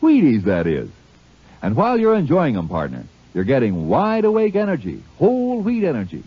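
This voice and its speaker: A man's voice: a little high, huge pitch swings, normal speed, no room sound. An American man delivering a folksy, punchy commercial in 1950s radio announcer style. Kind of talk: 1950s radio advertising pitch. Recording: muffled, husky-sounding vintage radio recording.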